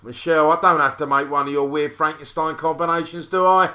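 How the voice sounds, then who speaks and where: A man's voice: fairly high pitch, flat delivery, a little fast, bright, forceful tone. An actor playing a cheeky, flirtatious Cockney salesman in an audio drama, chatty and cajoling. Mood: cheeky, upbeat.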